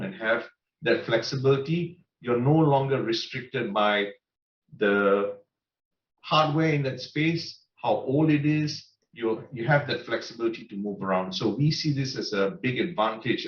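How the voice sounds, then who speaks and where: Male panelist on a video call, confident explainer, roomy distant sound, slightly dull line. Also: medium pitch. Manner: confident, explanatory, tags with "right?". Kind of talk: webinar panel answer.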